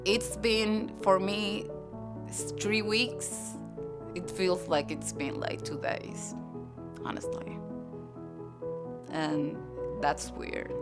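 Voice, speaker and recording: A woman reflecting personally in a documentary interview, halting as she searches for words, with some creak in her voice. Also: medium pitch. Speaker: documentary interviewee, patient's partner. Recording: music behind the voice.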